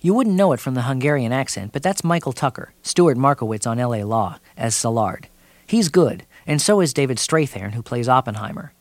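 A man's voice: medium pitch, dry recording. Dry-voiced male TV critic. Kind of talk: scripted broadcast review.

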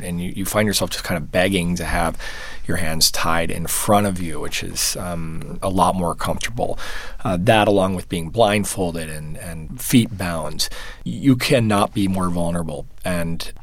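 A man being interviewed as a photojournalist, answering thoughtfully and haltingly, with many restarts and fillers. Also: sits low, fairly bright tone, no room sound. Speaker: photojournalist guest.

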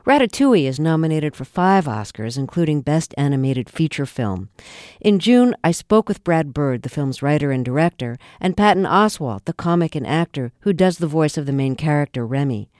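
A woman's voice: low voice, animated, normal speed, a little mellow, dry recording. A woman hosting a radio interview show, asking questions and reading scripted introductions into a studio mic. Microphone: close studio mic.

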